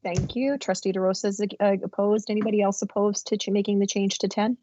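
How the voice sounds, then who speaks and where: A woman's voice: medium pitch, fairly even intonation, quick pace, dark tone, slight background noise. Polite woman chairing a video meeting. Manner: procedural and polite. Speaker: board chair. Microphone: video call. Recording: lo-fi video call audio.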